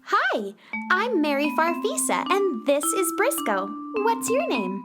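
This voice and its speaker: Woman voicing little space heroine, very high voice, sweet, earnest, unhurried, children's radio theater, music underneath. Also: wildly swooping pitch, heavily acted. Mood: friendly, wondering. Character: kind, wonder-filled young space cowgirl.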